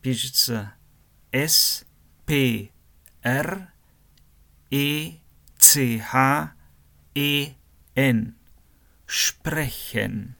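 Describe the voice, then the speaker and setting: A man from Germany, teaching a language lesson slowly, spelling words out letter by letter and repeating phrases. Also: medium pitch, creaky, pushed and bright.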